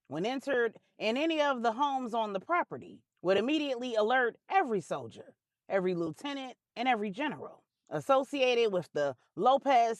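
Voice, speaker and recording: A woman's voice: on the high side, no room sound, clean studio sound. A woman narrating a scripted drama story for adults in a dramatic, colloquial storytelling manner with slangy touches.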